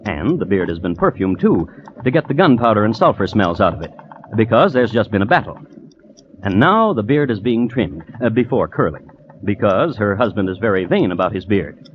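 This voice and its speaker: Low-voiced wry man, dull old radio recording. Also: sing-song, a little hiss or hum. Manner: deliberate, wry, understated. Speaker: host and narrator. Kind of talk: dry scripted old-time radio narration.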